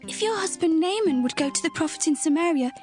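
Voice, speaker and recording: Little servant girl, tiny high voice, earnest and helpful, faint underscore, scripture drama. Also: expressive, fast, a little mellow, soft background music. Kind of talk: dramatized audio Bible acting.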